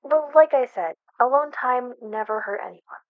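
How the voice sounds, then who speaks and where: A woman acting out a flustered young best friend with a secret crush, in a fairly high voice. She talks casually and haltingly in an intimate audio roleplay, recorded dry and close with a slightly muffled sound. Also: lively intonation, no room sound. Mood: casual, evasive. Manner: hesitant, stammering, casual and teasing. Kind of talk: ASMR roleplay, one-sided conversational acting.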